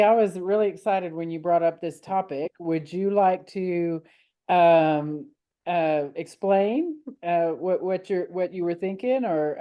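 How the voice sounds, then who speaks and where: A woman hosting a church discussion group, encouraging others to speak while stumbling through many fillers. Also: fairly low pitch. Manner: warm, encouraging, hesitant with fillers. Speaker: discussion host.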